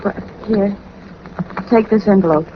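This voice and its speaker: A woman's voice: medium pitch, unhurried, natural voice. An actress playing a frightened young woman in danger, speaking politely and urgently in 1940s radio drama style. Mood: anxious, earnest. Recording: dull, noisy old 1940s radio recording.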